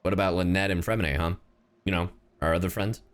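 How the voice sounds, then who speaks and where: A man's voice: sits low, fairly even intonation, a little fast, crowd noise. A man streaming a video game, chatting casually with viewers in an irreverent, joking, slangy and sweary way. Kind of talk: casual livestream chat and game commentary.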